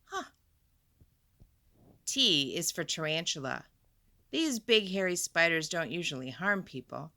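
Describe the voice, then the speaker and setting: Female storyteller for kids: lively swooping delivery, clear dramatic emphasis, forward bright tone, chatty asides. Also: mid-range voice. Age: adult. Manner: deliberate, dramatic emphasis, chatty questions to listeners. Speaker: storyteller, teacher reading to children. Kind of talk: expressive picture book read-aloud with asides.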